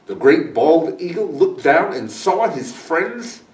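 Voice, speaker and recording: Male storyteller, high voice, slow animated children's reading, roomy distant microphone. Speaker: guest storyteller. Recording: echoey room, distant microphone.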